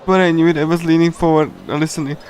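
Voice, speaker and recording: A man reading a visual novel aloud on a casual livestream, in a halting, pieced-together way. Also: fairly high pitch, flat delivery, a little mellow. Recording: music behind the voice. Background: game music.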